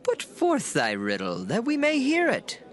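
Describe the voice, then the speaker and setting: A man's voice: extremely high pitch, huge pitch swings, measured pace, full character voice. A man playing a sly, menacing townsman, taunting and threatening in a scripture drama. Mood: challenging.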